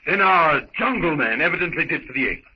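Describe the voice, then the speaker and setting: An actor playing an earnest gentleman in a jungle search party, in 1930s radio serial style. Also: on the high side, lively intonation, a little fast, slight background noise, close to an everyday voice. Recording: distant echoey muffled 1930s radio recording.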